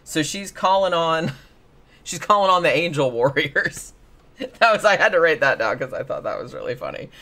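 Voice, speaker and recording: The slightly high-voiced, sweary male co-host you would hear on a laid-back podcast, retelling things irreverently to a friend. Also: lively intonation, normal speed, bright, forceful tone. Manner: slangy, profane, irreverent. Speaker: show co-host. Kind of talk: casual chat recapping paranormal stories.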